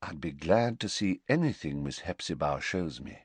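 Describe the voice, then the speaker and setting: A man voicing a smooth, quietly scheming young man who speaks low and controlled. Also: sing-song, normal speed, no echo, exaggerated voice.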